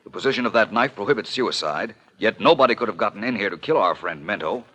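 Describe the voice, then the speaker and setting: An actor playing a suave, inquisitive amateur detective, polished and unruffled, in a 1940s radio drama. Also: male voice, on the low side, lively intonation, fast, fairly bright tone, breathy edge. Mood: intrigued, alert. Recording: vintage 1940s radio recording.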